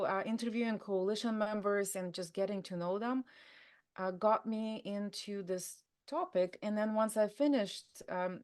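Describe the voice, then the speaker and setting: A woman's voice: mid-range voice, clear, resonant tone. A woman with a Russian accent, a native Russian speaker, talking casually as an interview guest, full of fillers and restarts. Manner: chatty, many fillers and restarts. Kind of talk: conversational interview.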